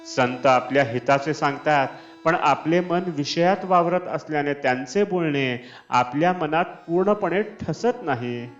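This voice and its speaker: A man reads a devotional discourse slowly and deliberately, at a medium pitch. The recording is dull and lo-fi, with Indian classical instrumental music playing behind his voice. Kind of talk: scripted devotional discourse reading.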